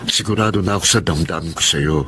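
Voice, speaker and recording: A man's voice: low voice, fast, sharp tone, slight background noise. A man playing a devoted, steadfast Filipino suitor in a radio drama, sincere in manner. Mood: tender, pleading.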